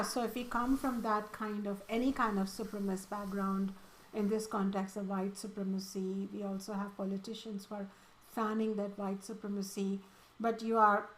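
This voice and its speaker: A woman's voice: medium pitch, a bit bright, slight background noise, low-quality recording. A woman from India with an Indian accent, a spiritual teacher answering student questions in an earnest, emphatic way.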